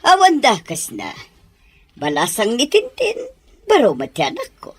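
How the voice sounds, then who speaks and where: A woman playing an older mother, warm and coaxing, who laughs easily while chatting. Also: a little high, wildly swooping pitch, moderate pace, husky, no room sound, full character voice. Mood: chatty. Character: aging mother, gentle, eager to see son wed. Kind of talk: audio drama acting.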